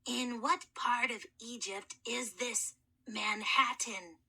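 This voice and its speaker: A woman voicing an ancient boy mummy who is timid and formal, with a hoarse voice and courtly, soft-spoken manner. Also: on the high side, fairly even intonation, slow pace, exaggerated voice. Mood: timid, polite. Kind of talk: audiobook reading.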